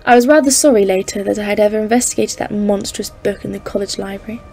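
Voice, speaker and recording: A woman's voice: medium pitch, fairly even intonation, fast talker, gravelly, darkish tone, husky, faint music behind. A woman playing a composed expedition leader, narrating a polar journal in a scripted audio drama. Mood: solemn, uneasy. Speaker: narrator and lead character.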